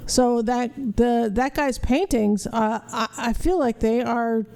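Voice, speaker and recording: A woman's voice: on the high side, fairly even intonation, slow, vocal fry, a little mellow, clean tone. A middle-aged woman hosting a podcast, giving casual, blunt commentary with some swearing. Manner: blunt, profane. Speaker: podcast host. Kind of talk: casual watch-along commentary.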